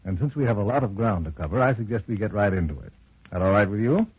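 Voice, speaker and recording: An actor playing a courteous, formal mystery storyteller in an old-time radio drama, with measured theatrical delivery. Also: male voice, lowish voice, expressive, lightly acted. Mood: genial, formal. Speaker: host and storyteller. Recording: tinny, hissy old radio recording.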